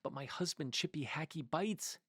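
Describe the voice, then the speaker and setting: A man's voice: fairly high pitch, normal speed, fairly bright tone, slightly rough, no echo. Man as a small female chipmunk, housewife, storybook, flustered, fussy, dry sound. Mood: wary.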